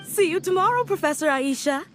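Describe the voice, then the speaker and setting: A woman's voice: very high-pitched, lively intonation, fairly quick, fairly bright tone, faint music behind. An actress playing a wistful, resigned woman in a video game, hesitant and sighing. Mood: fond.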